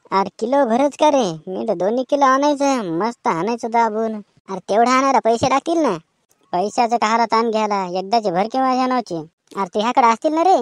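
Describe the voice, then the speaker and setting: Comic cartoon performer: woman, higher voice, rural Maharashtrian accent, colloquial banter, dry recording. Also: no echo. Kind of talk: rustic comic cartoon dialogue.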